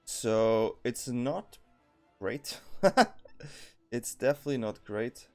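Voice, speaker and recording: A man's voice: medium pitch, slow pace, darkish tone, faint music behind. Swiss-accented man thinking aloud over play. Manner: thinking aloud, many ums and self-corrections. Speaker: card game streamer. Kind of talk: casual gameplay commentary.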